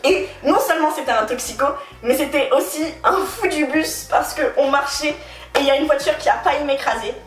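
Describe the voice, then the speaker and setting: A young woman casually telling an anecdote in an animated, slangy way, mixing English phrases into her French. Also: high-pitched, a little fast. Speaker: guest on a talk show. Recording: roomy echo, distant mic, background music.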